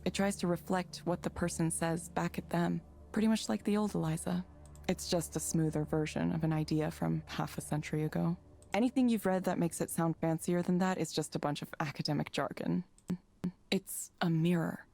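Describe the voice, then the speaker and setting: A woman's voice: fairly low pitch, fairly even intonation, normal speed, vocal fry, warm tone. A woman voicing a troubled, self-doubting engineer in a visual novel game, earnest, downcast and introspective. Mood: reflective.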